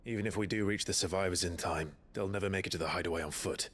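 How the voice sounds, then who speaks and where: An actor playing a grave, resolute warrior leader in a fantasy game, speaking in a terse, decisive, low-key way. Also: male voice, fast talker, fairly bright tone. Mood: urgent, resolute. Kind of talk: cinematic game dialogue.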